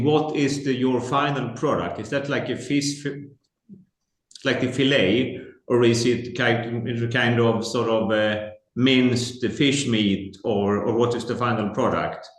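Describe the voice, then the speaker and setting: Halting man asking in an echoey room. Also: mid-range voice, steady tone. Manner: halting, self-correcting questions. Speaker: panel judge asking a question.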